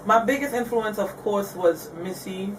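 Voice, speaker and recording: A woman's voice: medium pitch, normal speed, recorded from a distance, noisy background. A woman rapper from Guyana, talking casually and candidly in an interview, often laughing. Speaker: rapper guest.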